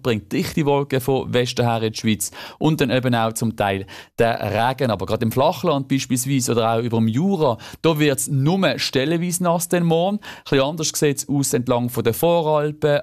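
Relaxed Swiss radio weatherman, Basel accent. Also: medium pitch. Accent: Basel Swiss German. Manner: relaxed and chatty, occasional stumbles over words. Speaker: weather forecaster. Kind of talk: radio weather forecast in conversation.

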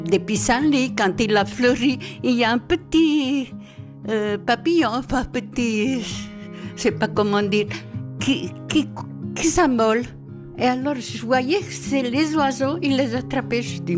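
A woman from central Italy, older, reminiscing in an interview in a halting, reflective way that repeats words. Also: fairly high pitch, music in the background. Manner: reflective, halting, repeats words as she tells her stories. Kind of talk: reminiscing storytelling. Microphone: handheld mic held by the interviewer.

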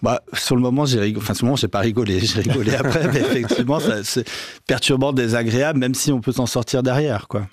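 A man's voice: mid-range voice, fast, breathy edge, dry recording. Male radio guest, hesitant, dry studio sound. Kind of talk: radio discussion.

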